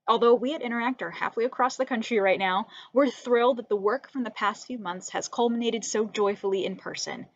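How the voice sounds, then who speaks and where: A woman's voice: fairly high pitch, no room sound. Woman, theater staffer reading a prepared welcome. Dry, muffled lo-fi sound. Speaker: theater literary manager.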